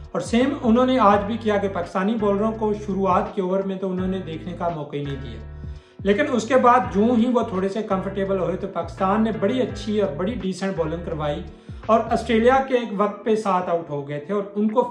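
A young man delivers a solo cricket breakdown, blunt and sarcastic in tone. His voice sits on the high side for a man. The small room rings with echo, and faint music plays underneath. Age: young adult. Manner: blunt, mocking critique. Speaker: cricket commentator vlogger. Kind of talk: solo cricket analysis to camera. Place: small bare room indoors.

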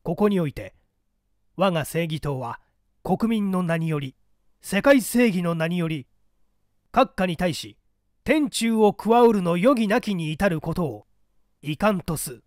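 A man's voice: high-pitched, lively intonation, unhurried, long pauses, dry recording, studio quality. A man reading literary fiction as a dramatic audiobook narrator, theatrical and carefully articulated. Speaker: audiobook narrator, stage actor.

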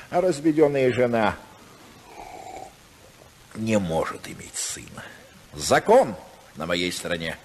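An actor playing a cynical, scheming senator husband with a sardonic, theatrical, mock-polite manner in a 1950s Soviet radio drama. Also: male voice, fairly high pitch, slight background noise. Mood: threatening. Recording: 1950s radio recording, long hall reverb.

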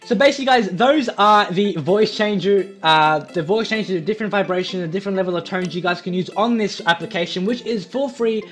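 A young man giving a casual, informal software tutorial, repeating himself as he explains. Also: high-pitched, brisk, hardly any pauses. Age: young adult. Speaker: tutorial video host. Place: small home room. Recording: faint music behind voice.

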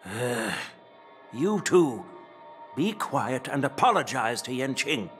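An actor playing a humble, kindly old elder in a video game, polite, hesitant and apologetic. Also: male voice, medium pitch, big pitch swings, slow, a bit bright, soft background music. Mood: chiding. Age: older.

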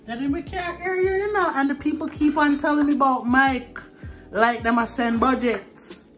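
A woman's voice: high voice, fairly even intonation, normal speed. A woman hosting a home cooking video, chatty, upbeat and teasing while she films in the kitchen. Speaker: host. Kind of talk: casual cooking show chat. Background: background music.

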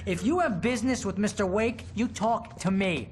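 A man's voice: high-pitched, normal speed, slight background noise, lightly acted. An actor playing a blustering, wisecracking male literary agent in a video game. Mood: brash. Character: brash fast-talking male literary agent. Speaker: agent character.

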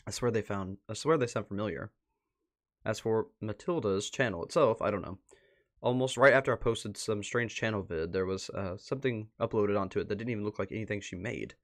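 Low-pitched man streaming casually. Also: a little fast. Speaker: livestream host. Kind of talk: casual livestream commentary, reading posts aloud. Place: indoors at a computer.

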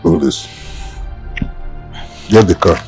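A middle-aged man with a Nigerian accent playing a proud, hot-tempered father in a film drama, with a husky voice. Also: slow, darkish tone, soft background music, natural voice. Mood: furious.

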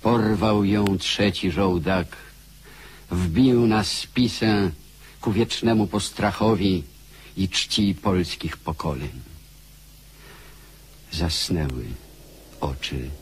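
An actor playing a solemn, elegiac narrator in a literary radio drama, grave and declamatory. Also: male voice, deep voice, extremely slow, pauses often, breathy edge, full character voice.

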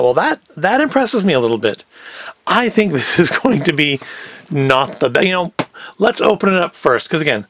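A man's voice: high voice, a bit bright, dry recording. A middle-aged man giving a casual, unscripted product review as host, with wry, sarcastic asides. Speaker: host of a food review show.

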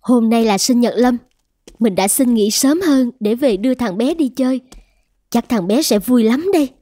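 A woman's voice: fairly high pitch, normal speed, warm tone, dry recording, studio quality, close to an everyday voice. A woman playing a firm, polite young mother in a scripted cartoon, reasoning earnestly. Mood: reflective.

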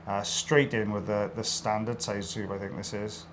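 A man's voice: lowish voice, little pitch movement, moderate pace, a little hiss or hum. A middle-aged man with a South Welsh accent, giving casual, joking commentary straight to viewers as an audiologist. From South Wales. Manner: joking, addresses viewers directly. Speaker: audiologist co-presenting a procedure video.

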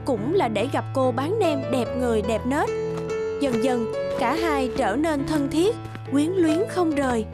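A woman reading a scripted fairy-tale narration. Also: sits high. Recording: loud children's music behind. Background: loud children's music.

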